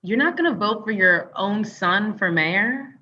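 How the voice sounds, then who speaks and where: A woman's voice: mid-range voice, lively intonation, unhurried, lightly acted. A woman playing a blunt, exasperated live-in girlfriend in a comedy table read, sardonic and challenging, on slightly dull lo-fi audio. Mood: dry, pointed.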